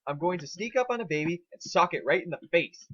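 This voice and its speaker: A man's voice: fairly high pitch, expressive, brisk, fairly bright tone, muffled recording, voice pushed up. A man voicing an irritable, foul-mouthed female pony scholar who rants with obsessive glee in an amateur dramatic reading. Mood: smug, scheming. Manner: ranting, gleefully obsessive.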